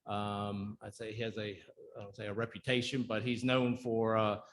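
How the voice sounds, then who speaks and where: A man serving as police chief, giving halting, measured remarks with many fillers at a town council meeting. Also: on the low side, level tone, slight background noise.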